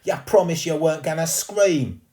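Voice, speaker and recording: A man voicing a rough, cocky boy ghost with a Cockney accent, teasing and slangy. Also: medium pitch, expressive, takes it slowly, dark tone, voice pushed up. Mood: annoyed.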